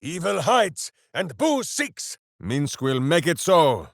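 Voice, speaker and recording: A man's voice: on the high side, big pitch swings, takes it slowly, vocal fry, dry recording, clean studio sound, heavily acted. A man voicing a big-hearted, simple-minded heroic warrior in a video game, bombastic and earnest, speaking of himself in the third person. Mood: rousing battle bluster. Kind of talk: video game character voice acting.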